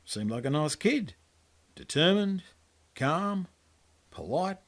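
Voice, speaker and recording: A man's voice: on the high side, big pitch swings, slow. An Australian man reading his own story as a colloquial, laconic first-person yarn. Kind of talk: storytelling monologue, first-person character narration.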